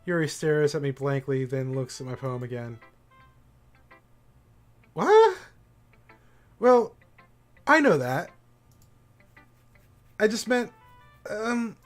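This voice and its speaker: A man's voice: fairly high pitch, very animated, slow pace, long pauses, soft background music. A male streamer reading a visual novel's narration aloud in a casual, amused way.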